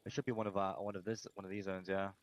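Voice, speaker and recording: Low-voiced male player on noisy comms. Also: level tone, rapid-fire, dull audio. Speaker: esports player. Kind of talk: team voice comms.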